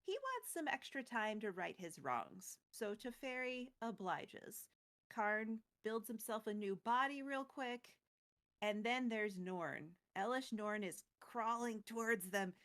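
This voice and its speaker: A woman's voice: fairly high pitch, fairly bright tone. A woman co-hosting a casual recap of a fantasy story, telling it playfully and acting out bits as she goes. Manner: playful, acts out quotes and sound words. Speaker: co-host recapping a fantasy card game story.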